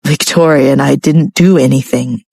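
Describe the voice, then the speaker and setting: A woman's voice: extremely low pitch, normal speed, vocal fry, a little mellow, slightly rough, no room sound, pitched below the performer's own voice, heavily acted. A woman voicing a cold, menacing young husband who speaks in clipped, icy lines, close to her own voice.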